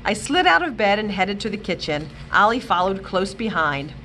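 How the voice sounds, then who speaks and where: A woman librarian reading a children's chapter book aloud with expressive, animated storytelling. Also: mid-range voice, normal speed, a little hiss or hum, poor audio. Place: library, indoors.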